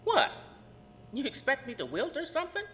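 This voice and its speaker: Weary psychic played by a woman, horror audio drama. Intense, confiding, animated delivery, long reverb with faint noise. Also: sits high, moderate pace, full character voice. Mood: dry, unfazed. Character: world-weary psychic woman who hears the dead, brooding.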